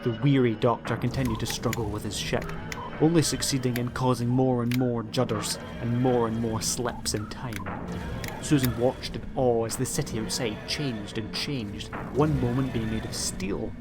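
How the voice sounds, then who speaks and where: Man, audiobook narrator, scripted dramatic storytelling, clear music bed behind the voice. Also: mid-range voice, fairly even intonation, normal speed.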